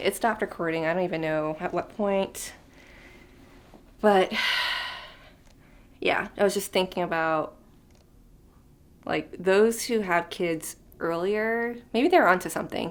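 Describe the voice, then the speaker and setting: A woman in her thirties chatting unscripted to camera as a vlogger, rambling and thinking aloud with lots of fillers, sometimes trailing off into a near whisper. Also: on the low side. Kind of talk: unscripted casual chat to camera.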